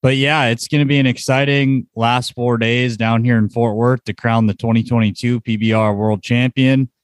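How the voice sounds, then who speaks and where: A man's voice: medium pitch, level tone, moderate pace, clean tone, dry recording. A man hosting a casual sports podcast, chatting informally about results and what is coming up. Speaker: podcast host.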